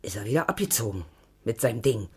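Casting: homely old Berlin woman, husky and lowish, rambling and pleading, very animated delivery, radio drama. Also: fast talker, full character voice. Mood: uneasy, confiding. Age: older. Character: anxious old East German woman, homely and devoted. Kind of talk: naturalistic radio drama acting.

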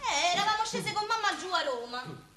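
An actress playing an excitable working-class daughter in an Italian stage drama, with a Roman accent. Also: female voice, extremely high pitch, a little fast, heavily acted. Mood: excited storytelling. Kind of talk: Italian stage comedy-drama acting in dialect. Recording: echoey stage recording, faint noise.

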